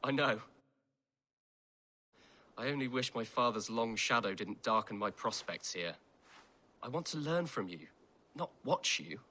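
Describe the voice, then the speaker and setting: An earnest young warrior in a video game cutscene. His mid-pitched voice is husky yet bright and tense, full of frustration. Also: expressive, unhurried, long pauses, exaggerated voice. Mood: frustrated.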